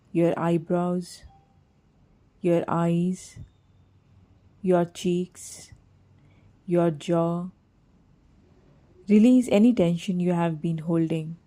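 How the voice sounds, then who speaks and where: Slow, mellow woman guiding meditation. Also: fairly low pitch. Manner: slow, soothing, gently measured delivery. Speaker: meditation guide. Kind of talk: scripted guided meditation narration.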